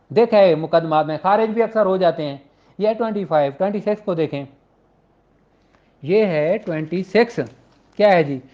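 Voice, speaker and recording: Pakistani guy teaching law, kinda high voice, chatty explainer style, muffled lo-fi audio. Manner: conversational, poses rhetorical questions, acts out courtroom exchanges; switches between Urdu and slowly read English legal text. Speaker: law lecturer. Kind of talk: informal lecture, explaining law with examples.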